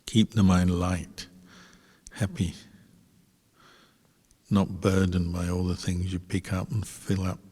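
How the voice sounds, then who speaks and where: A man, a Buddhist monk, guiding a meditation calmly and without hurry, with a husky voice and long pauses between short phrases. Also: low-pitched. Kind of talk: guided meditation instruction. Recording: reverberant hall, long echo.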